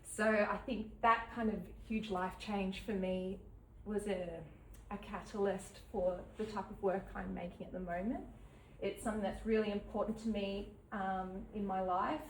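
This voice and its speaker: An Australian woman in her thirties talks about her work at a gallery event, pausing often and filling the gaps with ums. Her voice carries a roomy echo and sounds a little far from the mic. A faint hum of background noise sits under her speech. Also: mid-range voice. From New South Wales, Australia. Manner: halting, with many ums and soft laughs. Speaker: artist giving a talk. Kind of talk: unscripted artist talk. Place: gallery event space with an audience.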